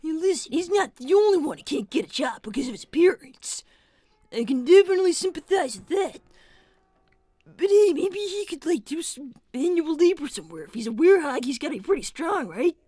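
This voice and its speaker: A woman's voice: high-pitched, sing-song, fairly quick, warm tone, dry recording. A woman voicing an exasperated young fox inventor in a casual, argumentative improvised livestream roleplay. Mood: casual, explaining.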